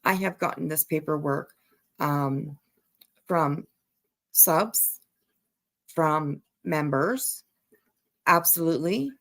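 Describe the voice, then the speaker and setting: A woman's voice: lowish voice, a bit bright. A woman hosting a casual livestream commentary, talking bluntly and emphatically, repeating phrases for emphasis and swearing casually. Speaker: livestream host.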